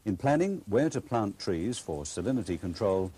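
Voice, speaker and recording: A man reading scripted instructional video narration in a formal, measured explanatory manner. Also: fairly low pitch, sing-song, dark tone, slight background noise.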